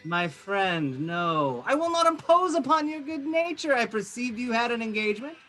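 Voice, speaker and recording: A male actor plays a scheming period nobleman with a high, swooping voice. He is solicitous and coaxing in a theatrical way, feigning friendship, with music behind him on a home call recording. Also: very animated, moderate pace, bright, forceful tone, heavily acted, rough recording. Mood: feigned concern. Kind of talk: theatrical period drama acting over video call.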